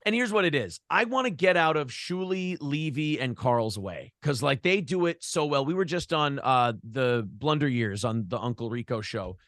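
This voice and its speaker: A man's voice: medium pitch, animated, moderate pace, hardly any pauses, a bit bright, no echo, clean studio sound. An American man chatting casually as an interview guest, telling rambling stories in a blunt, profane way. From Minnesota, United States. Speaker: podcast and morning show host, interview guest. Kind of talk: casual interview chat, storytelling.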